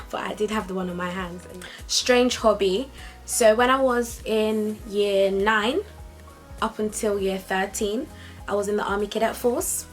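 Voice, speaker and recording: A young woman chats brightly to her camera, lively and upbeat, at a middle pitch. Soft music plays faintly behind her. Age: young adult. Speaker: vlogger. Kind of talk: casual talk to camera.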